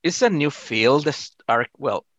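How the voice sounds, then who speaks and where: A middle-aged man from El Salvador with a Salvadoran Spanish accent, answering casually in halting English, often repeating and rephrasing his words. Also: mid-range voice, animated, fairly bright tone, dry recording, dull audio. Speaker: interview guest, amateur astronomer.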